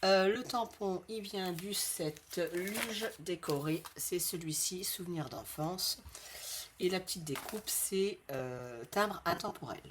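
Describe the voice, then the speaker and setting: A woman with a low voice and a slight head cold guides viewers through a craft tutorial. She chats casually and slows down to repeat each measurement. Clear background noise sits under her voice throughout.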